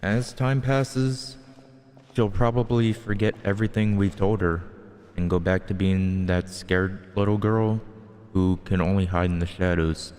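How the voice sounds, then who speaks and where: A man's voice: low-pitched, steady tone, unhurried, a little mellow, smooth, long reverb tail. A man streaming a video game, reading dialogue aloud and reacting casually.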